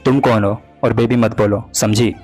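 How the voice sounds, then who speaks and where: A man's voice: on the low side, fairly even intonation, normal speed, a little mellow, breathy edge. A young man playing a hurt, jealous boyfriend, reproachful and pleading in a dramatized breakup talk. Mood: cold, accusing. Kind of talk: dramatized breakup phone conversation in Hindi. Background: background music.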